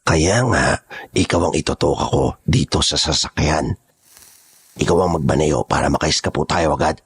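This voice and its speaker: A man playing a menacing older kidnapping gang boss in an audio horror drama, raspy, commanding and threatening. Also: rumbling low, normal speed, exaggerated voice. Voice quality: raspy, gravelly.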